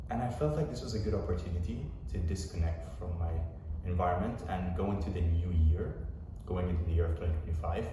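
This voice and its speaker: A young man, a personal trainer, giving a relaxed, rambling vlog update with plenty of fillers. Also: sits low, noisy background. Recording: echoey room from far off.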